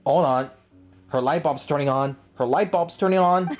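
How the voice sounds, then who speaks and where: A woman's voice: sits low, moderate pace, darkish tone. A woman giving casual, slangy, reactive commentary as she plays a video game. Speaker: let's play streamer. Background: game music.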